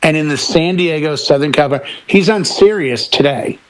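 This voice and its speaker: Male DJ panelist, middle-aged, slightly high-pitched, casual anecdote teller who voices the people he quotes. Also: brisk. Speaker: DJ and talk show panelist. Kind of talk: casual chat and storytelling.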